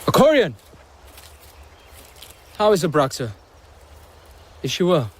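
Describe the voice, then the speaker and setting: An actor playing an earnest, anxious young foreign man in a radio drama, husky and emotionally exposed. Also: a little high, sing-song, takes it slowly, a little hiss or hum. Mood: eager. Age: young adult.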